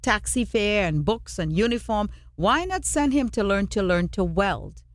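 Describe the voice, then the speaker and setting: A woman from Trinidad and Tobago hosting a radio show, chatting warmly and telling stories to draw out her guest. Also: mid-range voice, expressive, a little slow, no room sound, clean studio sound. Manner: chatty, storytelling, encouraging. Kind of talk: casual radio interview.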